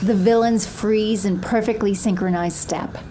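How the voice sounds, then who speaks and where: A woman's voice: medium pitch, steady tone, unhurried, music in the background. A woman reading scripted scene narration for an audio drama.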